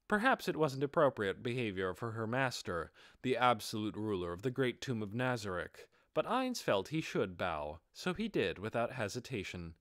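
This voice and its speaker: A man reading a fantasy audiobook as narrator, in an expressive scripted storytelling style. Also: fairly low pitch, no echo, clean studio sound.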